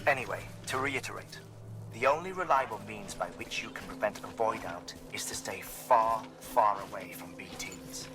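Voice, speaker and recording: An actor playing an erudite, theatrical male scientist in a video game, lecturing formally and deliberately. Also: lowish voice, music in the background, lightly acted. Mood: grave, pondering. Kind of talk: scripted game exposition.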